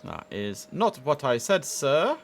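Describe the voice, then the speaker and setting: Chatty man over clear background noise. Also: fairly high pitch, big pitch swings, slow pace. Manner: chatty, informal, thinks aloud. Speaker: streamer playing an air traffic control simulator. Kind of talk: casual live gameplay commentary.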